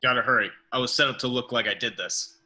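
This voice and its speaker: A man playing a terse, deadpan superhero in a script table read. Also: medium pitch, quick pace. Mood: urgent.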